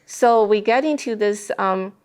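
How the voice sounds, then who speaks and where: A woman's voice: mid-range voice, unhurried, a little mellow, smooth. Woman explaining ideas in an interview. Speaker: interview guest. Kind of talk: thoughtful interview discussion.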